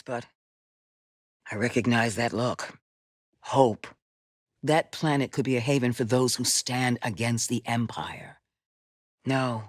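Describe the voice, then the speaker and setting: Wise, resolute female Jedi mentor for a sci-fi game: low, measured, recorded very dry. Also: no room sound. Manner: measured, deliberate, earnest delivery. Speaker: video game voice actress. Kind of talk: cinematic game dialogue acting.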